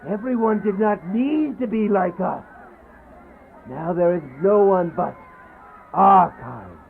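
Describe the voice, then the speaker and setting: Casting: man as defiant, desperate male vampire, gravelly and slow, theatrical horror radio, thin phone-like sound. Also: high voice, expressive, full character voice. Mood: bitter, defiant. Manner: slow, declamatory, accusing. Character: desperate, defiant vampire begging and accusing his maker. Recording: muffled phone-like radio play, background noise.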